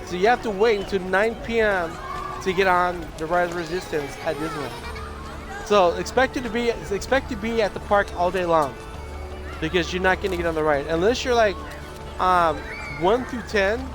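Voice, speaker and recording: A high-pitched man streams a loose, rambling chat, his voice scratchy and nearly gone. He restarts his sentences often as he talks. Game music plays clearly behind him. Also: normal speed, crowd noise. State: hoarse, losing his voice. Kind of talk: casual livestream chat. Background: video game music.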